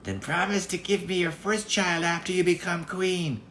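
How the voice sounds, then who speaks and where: A man's voice: high-pitched, moderate pace, bright, forceful tone, slight background noise, voice pushed up. A man voicing a funny, sly little magical man who strikes bargains in a storybook tale. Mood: bargaining. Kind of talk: storybook character voice.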